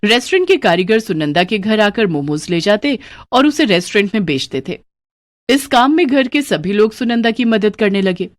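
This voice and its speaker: A woman narrating a children's cartoon moral story in steady, simple scripted storytelling. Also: medium pitch, normal speed.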